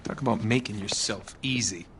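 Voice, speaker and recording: A man's voice: fairly low pitch, moderate pace, fairly bright tone, a little hiss or hum, natural voice. A man with an American accent playing a skeptical, sardonic treasure hunter in a video game cutscene. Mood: annoyed. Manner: sardonic, casual banter.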